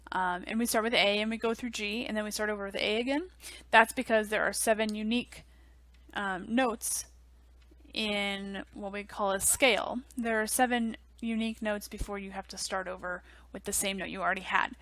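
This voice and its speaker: A young woman teaching music theory in a relaxed, chatty way, full of ums and likes, on a headset mic in a small office. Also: medium pitch, moderate pace, dry recording. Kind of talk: casual online lecture.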